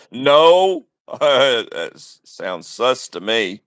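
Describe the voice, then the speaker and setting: A man's voice: medium pitch, big pitch swings, drawn-out words, a bit bright, no room sound. A man calling into a livestream, taunting the host in a heated political argument. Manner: taunting, repeats the host's name. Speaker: caller on a livestream.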